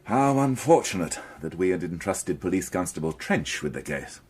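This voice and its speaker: An actor playing a brusque, exasperated police inspector in a radio crime drama. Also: male voice, low-pitched, very animated, normal speed, a little mellow, slightly rough, full character voice. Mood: grave. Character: brusque middle-aged male police inspector. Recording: radio drama with background noise.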